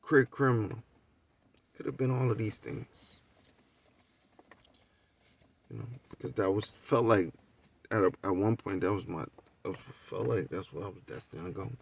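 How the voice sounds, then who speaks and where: A man's voice: lowish voice, moderate pace, creaky, husky, recorded from a distance. A middle-aged American man telling personal stories in a casual, profane, candid and rambling way, asking rhetorical questions as he goes. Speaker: storyteller.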